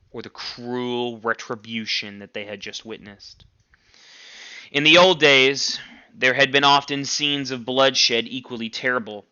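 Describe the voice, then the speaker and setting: A man reading a novel aloud like a teacher, with emphatic, expressive storytelling. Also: medium pitch, clean tone, dull audio. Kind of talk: dramatic novel read-aloud.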